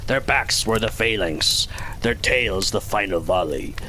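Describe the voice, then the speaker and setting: A man's voice: on the low side, animated, a little slow, sharp tone, slight background noise. A man narrating an overly dramatic audiodrama in a solemn, theatrical, mock-epic style. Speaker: podcast host narrating an audiodrama.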